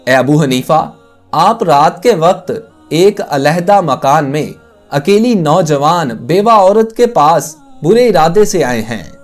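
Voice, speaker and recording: A man's voice: on the high side, expressive, takes it slowly, faint music behind. A man voicing an envious male plotter in a storybook tale, speaking accusingly.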